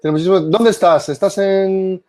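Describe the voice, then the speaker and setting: A man's voice: high-pitched, moderate pace, a little mellow. A man in his thirties hosting a webinar interview over a video call, asking questions in a friendly conversational way. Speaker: webinar host. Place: indoor office.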